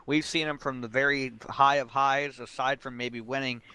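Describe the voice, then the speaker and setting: A man's voice: mid-range voice, flat delivery, moderate pace, sharp tone, smooth. A man doing live sim racing commentary as a host, mixing analysis with casual banter.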